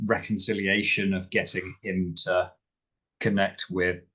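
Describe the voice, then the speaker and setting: A man brainstorming casually as a tabletop player, offering suggestions in a measured, questioning way. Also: deep voice, flat delivery, pushed and bright, husky.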